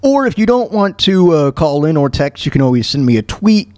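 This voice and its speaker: A man with a somewhat high voice hosts a casual talk radio show on his own. He speaks in a halting way, thinking aloud as he goes, and the sound is dry and close. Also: very animated, darkish tone, dry recording.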